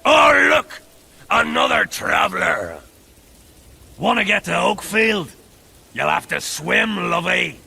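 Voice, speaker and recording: A jeering video game bandit with a harsh, raspy and piercing voice. He shouts his mockery in wildly swooping tones. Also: high voice, sing-song, slow, pauses often, heavily acted. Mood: mocking. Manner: shouting, mocking. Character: jeering male bandit taunting travellers. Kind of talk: video game character acting.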